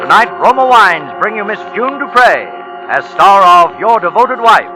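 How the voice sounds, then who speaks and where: A man's voice: high voice, very animated, measured pace, poor audio. A man announcing a scripted 1940s radio show introduction in a formal, emphatic manner. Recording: vintage radio recording over music.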